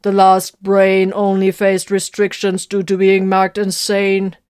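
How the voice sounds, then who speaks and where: A woman voicing a starship's machine spirit, a man's mind merged with the ship, who speaks slowly and protests stubbornly. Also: fairly low pitch, monotone, mellow.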